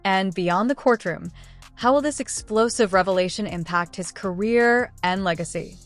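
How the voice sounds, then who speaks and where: A woman narrates scripted news in a dramatic style. Her pitch sits in the middle range for a woman, and faint music plays behind her. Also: moderate pace.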